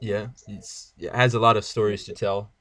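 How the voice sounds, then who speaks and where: A man from Germany whose first language is not English, speaking in a low voice as he patiently lays out ideas with many pauses. The recording is dry and close. He sounds earnest and steady. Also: no echo. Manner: earnest, explains at length with hesitations. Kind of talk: casual chat.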